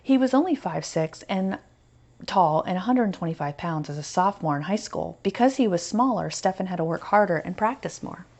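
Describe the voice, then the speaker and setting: An American woman teaching through a children's story read-aloud, pausing to explain and stress key points. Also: lowish voice, animated, darkish tone.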